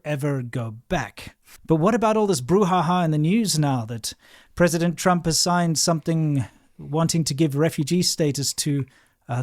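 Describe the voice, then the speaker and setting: A man's voice: on the high side, a little mellow, no room sound. A young man with a South African accent gives a blunt, outspoken monologue in a slightly raised voice. The sound is close and dry, with no room in it. His tone stays frank and unhedged throughout. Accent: South African English. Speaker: video creator. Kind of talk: opinionated monologue to camera. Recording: played back within a reaction video.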